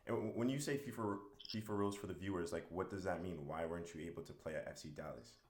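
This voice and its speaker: Casting: male interviewer, low voice, quick delivery, brisk follow-ups, echoey room. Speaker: interviewer host. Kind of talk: interview questions. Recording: roomy echo.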